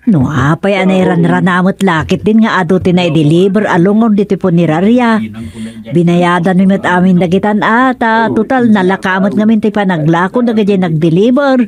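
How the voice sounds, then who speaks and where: A woman's voice: medium pitch, moderate pace, a crowd in the background, close to an everyday voice. An actress with an Ilocano accent playing a practical, chatty village businesswoman in a radio comedy-drama. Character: practical village woman running a funeral parlour.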